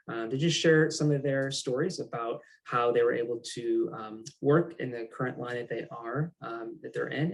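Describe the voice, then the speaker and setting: A program manager moderates an online chat. He speaks in a measured way with frequent ums, and his voice sits mid-pitch and stays flat. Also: vocal fry, poor audio. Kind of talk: moderating a panel.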